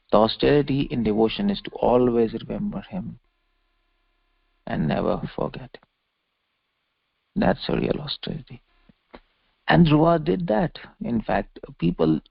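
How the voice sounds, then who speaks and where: Gravelly male preacher on a muffled line. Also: mid-range voice, dry recording. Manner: didactic, repeats phrases for emphasis. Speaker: religious preacher giving a lecture. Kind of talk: extemporaneous scripture lecture with verse explanation. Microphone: conference call. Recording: muffled lo-fi conference call audio.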